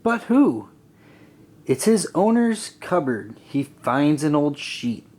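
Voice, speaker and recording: A man reading a rhyming children's story aloud in an expressive, deliberate, sing-song way. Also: on the high side. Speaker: storybook reader. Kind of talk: children's read-aloud narration.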